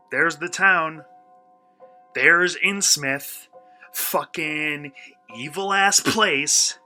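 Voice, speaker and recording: A man's voice: on the high side, sharp tone, heavily acted. An actor playing a brash, uneasy young male vlogger in a radio play, talking in a slangy, sarcastic, theatrical way. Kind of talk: radio play acting, first-person monologue.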